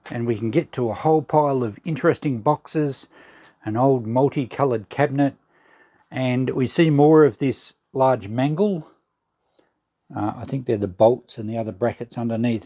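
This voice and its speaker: A man's voice: medium pitch, a little mellow, dry recording. Musing Australian man, casual vlogger. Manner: musing, thinking aloud. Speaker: vlog host. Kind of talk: casual narrated walkthrough of a shed clean-out.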